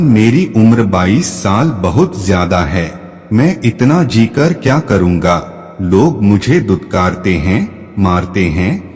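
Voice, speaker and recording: A man narrating a devotional fable in a measured, solemn way. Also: on the low side, darkish tone. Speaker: storyteller. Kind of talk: slow devotional story narration. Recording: long hall reverb.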